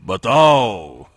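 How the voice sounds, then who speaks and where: Menacing cartoon shadow spirit, gravelly, swinging pitch, slow and taunting. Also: male voice, no room sound, exaggerated voice. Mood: gloating. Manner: menacing, drawn-out riddling delivery. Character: menacing dark shadow spirit, male, taunting kidnapper of children.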